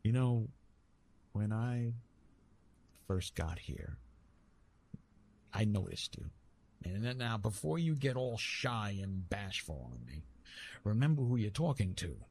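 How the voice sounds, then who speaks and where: Retired love god, male actor: low, unhurried, halting, bashful and gently amused, intimate ASMR roleplay. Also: very animated, husky, full character voice. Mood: gentle, curious. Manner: hesitant, halting, teasing, with repeated words.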